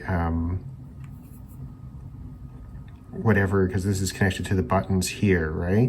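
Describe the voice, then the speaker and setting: A man hosting an electronics teardown video, talking casually and unscripted, haltingly thinking aloud as he examines parts. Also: deep voice, a little mellow, slight background noise. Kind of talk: casual unscripted hands-on demonstration talk.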